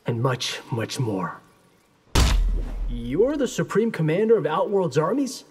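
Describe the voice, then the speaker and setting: A man's voice: a little high, very animated, unhurried, noisy background. An actor playing a brave, cocky young male ninja in a video game, with casual, confident banter. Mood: cocky, defiant. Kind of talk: video game character acting.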